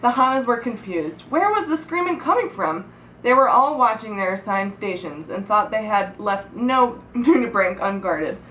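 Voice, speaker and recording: A woman's voice: mid-range voice, animated, moderate pace, a bit bright. A woman reading a humorous story aloud to friends in a giggly, informal way, stumbling and breaking off to comment. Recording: echoey room, distant mic, background noise.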